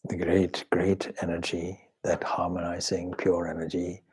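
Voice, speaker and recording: A man with a husky, breathy voice leads a guided meditation as a spiritual teacher, speaking in measured, reverent phrases with pauses. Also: sits low, monotone, a little mellow, low-quality recording. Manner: measured, reverent, pausing between short phrases. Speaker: swami leading a guided meditation. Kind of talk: slow spoken guided meditation.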